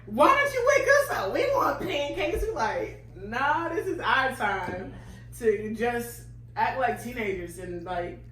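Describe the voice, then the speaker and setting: A woman co-hosting a casual relationship chat, playful and quick to laugh and tease. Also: on the high side, sing-song, fairly bright tone, roomy sound, rough recording. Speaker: co-host.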